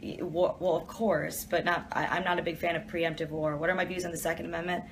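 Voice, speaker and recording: A woman's voice: fairly low pitch, level tone, fast, noisy background. A young woman from Detroit, chatting casually as she reads out viewer questions and answers them in an office during a live stream. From Detroit, USA.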